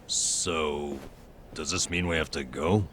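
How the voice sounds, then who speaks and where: A very low-voiced, reluctant sidekick. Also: male voice, fairly even intonation, slow, background noise. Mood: dry, reluctant. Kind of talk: English video game dub acting.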